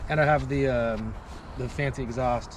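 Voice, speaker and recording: A young man pilot chatting casually outdoors on a river gravel bar, eager and sometimes searching for words. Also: medium pitch, fairly even intonation, normal speed. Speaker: pilot vlogger. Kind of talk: casual chat. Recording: outdoor noise behind.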